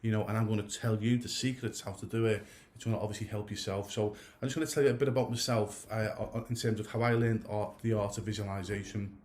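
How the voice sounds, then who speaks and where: A man's voice: fairly low pitch, fairly even intonation, quick pace, a little mellow. A man in his thirties or older giving a casual coaching talk to goalkeepers. He rambles, restarts and goes off on tangents, and his nose is stuffy from a cold. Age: 30s or older. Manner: rambling, many restarts and asides.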